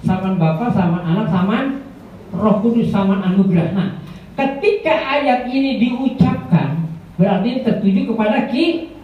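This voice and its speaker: A man giving a guest sermon to a church congregation in a rambling way, often calling out to the listeners and growing louder as he preaches. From Indonesia. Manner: rambling, addresses the congregation as "saudara"; grows louder and higher when preaching. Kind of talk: Pentecostal sermon. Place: church hall. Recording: echoey church hall, tinny and far off.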